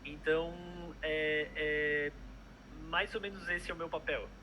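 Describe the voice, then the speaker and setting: A man's voice: on the high side, fairly even intonation, measured pace, sharp tone, slight background noise. A young man, a product manager chatting casually in an interview, hesitant with many fillers and restarts.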